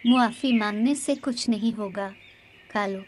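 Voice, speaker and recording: A woman's voice: on the high side, measured pace, slight background noise, natural voice. A woman playing a hardworking mother sparrow in a cartoon story, scolding and moralizing but forgiving. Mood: firm, forgiving. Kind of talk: cartoon storybook character dialogue.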